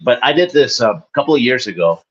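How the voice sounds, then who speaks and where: A man's voice: mid-range voice, sing-song, quick pace, fairly bright tone, slightly rough. Guy in his 40s chatting loose and rambly, pokes fun at himself, kinda muffled lo-fi sound. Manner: rambling, self-deprecating, laughs often. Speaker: guest, Olympic athlete and author. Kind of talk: casual interview talk.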